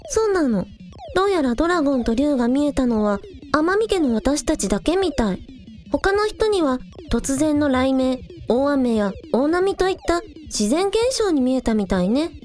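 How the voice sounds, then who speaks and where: Woman playing an upbeat, high-voiced young idol girl. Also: sing-song, moderate pace, darkish tone, soft background music. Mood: easygoing, explaining. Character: casual, upbeat, take-charge young village idol girl. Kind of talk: Japanese audio drama acting.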